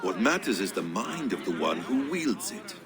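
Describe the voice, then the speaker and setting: An actor playing a courteous, measured master of a secret assassin brotherhood in a video game, eloquent and philosophical. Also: male voice, fairly low pitch, very animated, over music. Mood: instructive, calm. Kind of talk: video game cutscene acting.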